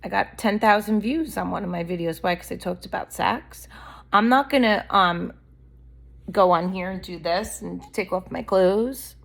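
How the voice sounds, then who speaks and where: A woman's voice: medium pitch, normal speed, gravelly. A woman vlogger talking straight to camera in a candid, blunt, rambling monologue, her voice a little worn from allergies.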